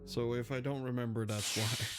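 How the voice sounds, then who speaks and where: Guy streaming a game, casual commentary, medium pitch voice, loud music behind him. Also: fairly even intonation, moderate pace. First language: Danish.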